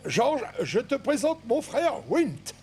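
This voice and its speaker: An actor voicing a friendly, polite brother in a children's cartoon. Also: male voice, very high voice, big pitch swings, slow, darkish tone, heavily acted.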